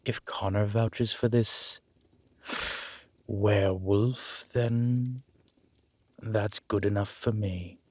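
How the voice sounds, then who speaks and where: A man voicing a grave, protective, centuries-old immortal in a roleplay audio drama, speaking in a measured, formal and solemn manner. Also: lowish voice, fairly even intonation, a little mellow, no echo. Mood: solemn, guarded.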